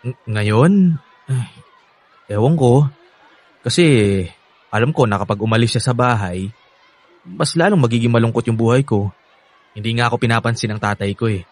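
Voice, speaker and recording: Actor doing a young filipino guy in a radio drama, sweet n eager, chatty, average-pitched voice. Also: normal speed, long pauses, dry recording, lightly acted. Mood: earnest, candid. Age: young adult. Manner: earnest, chatty, laughs often. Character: affectionate, eager young man, devoted to his nanny.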